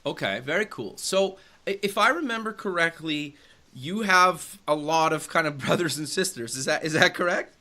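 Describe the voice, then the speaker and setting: A man's voice: a little high, lively intonation, moderate pace, bright, forceful tone. A man hosting a radio and TV interview show, reading a scripted intro and then asking questions in an enthusiastic, hedging way. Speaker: host.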